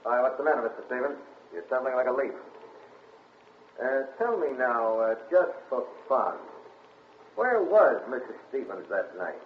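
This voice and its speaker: A man's voice: medium pitch, expressive, normal speed, close to an everyday voice. Man acting police captain, 1940s radio drama, needling, falsely casual, echoey room, faint hiss. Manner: folksy, feigned casualness that turns to pressing interrogation. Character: wily, needling police captain questioning suspects. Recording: echoey, tinny, hissy 1940 radio broadcast.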